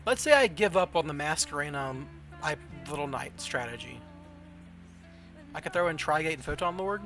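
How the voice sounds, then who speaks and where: A man's voice: fairly high pitch, animated, moderate pace, sharp tone, soft background music. A man with a Southern American accent chatting casually as a game streamer, thinking aloud and questioning himself as he plays a card game. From southern United States. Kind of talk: casual livestream chat while playing a card game.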